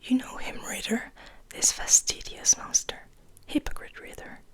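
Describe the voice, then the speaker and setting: A woman whispering in a breathy voice as she reads poetry for ASMR, in slow, measured phrases with pauses. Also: bright, forceful tone. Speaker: ASMR host reading poetry.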